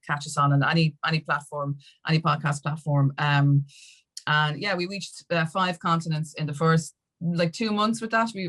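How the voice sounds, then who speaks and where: Woman, Irish accent, low voice, halting delivery, rambling interview talk, frequent filler phrases. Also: a bit bright. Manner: rambling, halting, with many "you know"s. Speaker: podcast guest, activist. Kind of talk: conversational interview.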